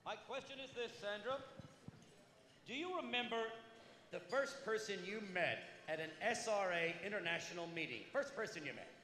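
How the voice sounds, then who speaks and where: A man's voice: high voice, animated, moderate pace, pushed and bright. A man hosting questions at a conference in a large hall, with a showy, upbeat emcee manner. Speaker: event host. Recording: echoey hall, long reverb.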